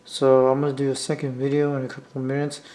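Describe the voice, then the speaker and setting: A man with an American accent talking casually off the cuff as a hobbyist video maker, rambling and halting as he repeats himself. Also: mid-range voice, completely flat delivery, mellow. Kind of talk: casual unscripted vlog talk. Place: indoor room, talking from behind the camera.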